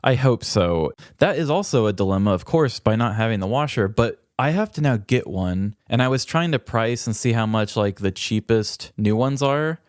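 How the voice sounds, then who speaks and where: A man's voice: medium pitch, lively intonation, moderate pace, hardly any pauses, no echo, studio quality. A man telling stories casually as a podcast co-host, with wry, self-deprecating humor. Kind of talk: casual comedic storytelling chat.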